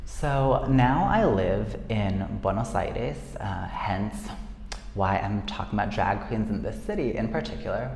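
A man's voice: fairly low pitch, animated, unhurried, vocal fry, darkish tone. A man hosting a casual solo podcast, chatty and self-deprecating, often laughing. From United States. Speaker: podcast host. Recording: echoey room with long reverb and faint noise.

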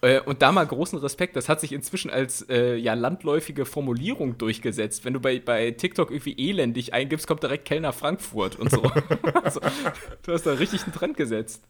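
A man's voice: medium pitch, a little fast, slightly rough. A man co-hosting a podcast in a laid-back, joking way, with plenty of fillers. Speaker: podcast co-host. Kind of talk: casual chat.

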